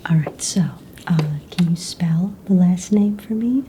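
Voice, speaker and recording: A woman playing a calm, businesslike young fantasy egg hunt official in a breathy, soft-spoken ASMR roleplay, casual and matter-of-fact. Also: fairly low pitch, measured pace, a little hiss or hum, lightly acted. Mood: calm, polite. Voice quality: breathy, whispery.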